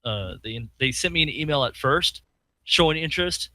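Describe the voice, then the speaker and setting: A man's voice: a little high, animated, normal speed, bright, forceful tone, no echo. A man co-hosting a podcast in casual chat, telling a rambling story with fillers and acted-out quotes. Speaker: podcast co-host.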